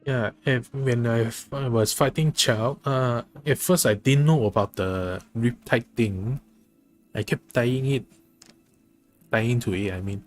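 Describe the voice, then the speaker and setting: A man giving casual live game commentary as a streamer, halting and often rephrasing himself. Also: mid-range voice. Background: game music.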